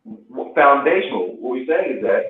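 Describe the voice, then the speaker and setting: A man's voice: mid-range voice, big pitch swings, normal speed, a little hiss or hum. A man in casual group discussion on a remote call, rambling, repeating himself and swearing. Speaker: podcast participant. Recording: distant, echoey, phone-like line.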